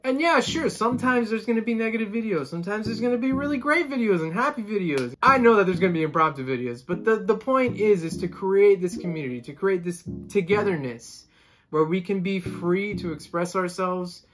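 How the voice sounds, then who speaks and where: A man's voice: sits high, animated, a little fast, a bit bright, faint music behind. A Colombian-born young man in his twenties, a saxophonist vlogger, chatting casually to the camera from a room at home and gesturing with both hands. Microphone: camera in front, seated.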